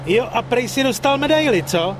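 An actor voicing a cheerful, streetwise gangster pal in a video game, slangy, breezy and joking. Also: male voice, extremely high pitch, lively intonation, background noise. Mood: breezy, curious. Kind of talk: video game cutscene acting.

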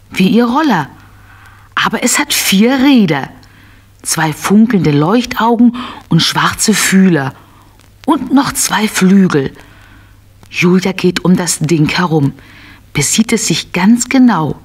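Slightly husky woman narrating children's stories. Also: medium pitch. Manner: expressive storyteller, simple phrasing. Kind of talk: scripted children's story narration.

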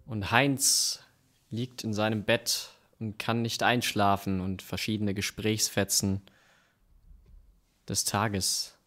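A man's voice: on the low side, little pitch movement, slow, a bit bright. A young man narrating an improvised radio play on stage. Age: young adult. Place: small curtained theatre stage. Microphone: stand microphone.

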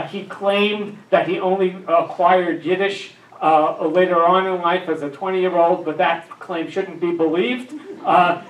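Older male lecturer, higher voice, halting delivery with many fillers, heard in an echoey hall from a distant camera. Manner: many filler uhs, dry asides. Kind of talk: academic lecture. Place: dim lecture room with projector. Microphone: handheld mic. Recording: echoey room, camera far off.

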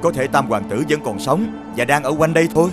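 A man voicing an alert, dutiful royal guard in an animated fairy tale. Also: a little high, fast, over music, lightly acted. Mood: urgent, dutiful.

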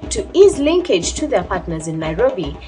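Woman, scripted documentary voice-over, medium pitch, lively melody in delivery, soft music behind. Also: normal speed. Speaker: narrator.

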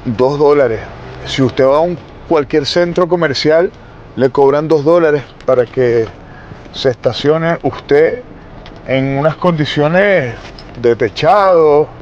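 A man's voice: fairly high pitch, darkish tone, dull audio, slight background noise. A man in his forties with a Venezuelan Spanish accent, reporting from inside his car on a city street, questioning people with pointed, indignant questions and emphatic repeats.

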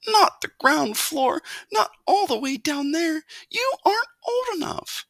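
A woman's voice: very high-pitched, very animated, a little slow, vocal fry, pushed and bright, dry recording, pitched above the performer's own voice, heavily acted. A woman voicing an anxious, scolding mother mouse in a children's storybook, fussing and pleading.

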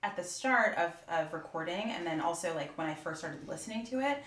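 A woman's voice: on the low side, animated, brisk, a bit bright. A woman who works as a voice actor, chatting casually and expressively in a roundtable discussion. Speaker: voice actor on a panel. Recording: roomy, echoey room.

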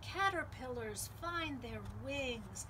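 A middle-aged woman hosting a children's storytime, reading a picture book aloud in a lively, sing-song way with deliberate phrasing. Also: high-pitched, noisy background. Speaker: library storytime host. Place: outdoors on a home patio.

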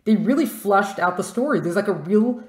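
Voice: medium pitch, expressive, moderate pace, echoey room. A podcast host asking questions casually over a video call, with frequent encouraging backchannel. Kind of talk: casual interview chat.

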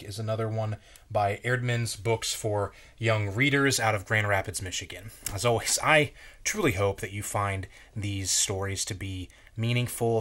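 Male, thirties, lower register, measured and wondering storybook read-aloud. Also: fairly bright tone. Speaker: children's story reader. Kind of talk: picture book read-aloud. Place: small bright room at home, indoors.

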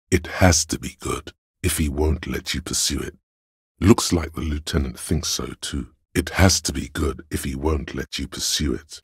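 A male inner voice of pure reason for a video game. It is exceptionally deep and rough with husk, recorded close and dry. The delivery is measured and analytical, with a skeptical, sardonic edge. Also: no echo, full character voice. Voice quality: very deep, gravelly husky. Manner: measured, deliberate, dryly sardonic. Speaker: voice actor.

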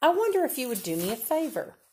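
A woman's voice: sits high, very animated, fast, a little hiss or hum, pitched above the performer's own voice. A woman doing a harried, exasperated mother. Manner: bright, strained politeness.

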